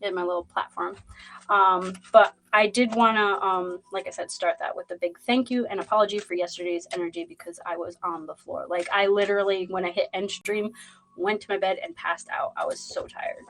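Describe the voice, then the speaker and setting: A woman's voice: mid-range voice, fairly even intonation, a little fast, fairly bright tone, low-quality recording. A woman with a Boston accent hosting a zodiac reading livestream, chatting loosely with many fillers and greeting viewers in the chat. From Boston, USA. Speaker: tarot reader hosting a livestream.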